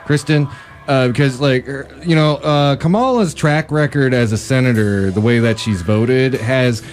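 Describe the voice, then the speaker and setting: Agreeing co-host with a mid-range voice. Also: male voice, medium pitch, normal speed. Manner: frequent backchannel agreement, hesitant when he takes the floor. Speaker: radio show co-host. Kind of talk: political talk.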